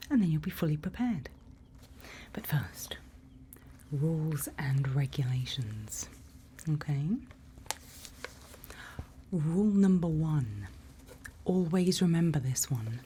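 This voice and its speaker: A woman whispering gently in an ASMR roleplay, guiding and reassuring the listener in an unhurried manner. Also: low voice, slight background noise. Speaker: ASMR roleplay performer playing a night watch guide.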